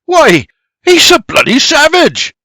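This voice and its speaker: A man voicing a blustering, excitable frontier homesteader in an audiobook story. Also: high voice, measured pace, gravelly, dry recording, clean studio sound, pitched above the performer's own voice, full character voice. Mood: shocked. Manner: blustering, exclamatory.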